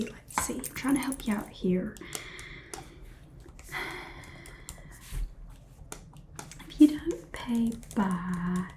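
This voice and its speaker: A woman voicing a sassy, chatty Southern saleswoman in a whispered ASMR roleplay, with soft keyboard typing behind her. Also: high-pitched, slight background noise. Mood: exasperated.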